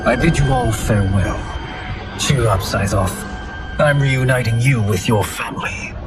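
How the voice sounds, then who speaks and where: An actor playing a smug, cold-blooded sorcerer villain in a video game, with a formal, pompous, taunting manner. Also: male voice, medium pitch, measured pace, loud music behind the voice, natural voice. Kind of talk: video game cutscene acting.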